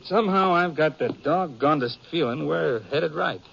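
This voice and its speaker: A man's voice: a little high, animated, moderate pace, creaky, fairly bright tone. An actor playing an eager young outlaw posing as a lawman in a 1950s radio western, with a folksy rural Western American accent and a hesitant, coaxing manner. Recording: dull, noisy vintage radio recording.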